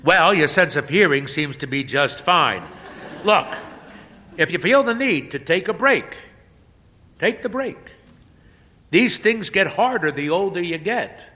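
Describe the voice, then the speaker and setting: A man's voice: sits high, expressive, unhurried, pauses often, sharp tone, pitched above the performer's own voice, exaggerated voice. A man doing a sly, tired old priest. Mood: offhand. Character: tired aging male priest, sly and irreverent. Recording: long hall reverb.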